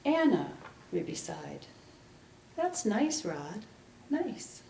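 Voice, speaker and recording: A woman's voice: fairly high pitch, big pitch swings, drawn-out words, mellow, a little hiss or hum. A woman giving a dramatic reading of fiction as part of a literary ensemble, in a live event room. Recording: roomy, distant microphone in a hall.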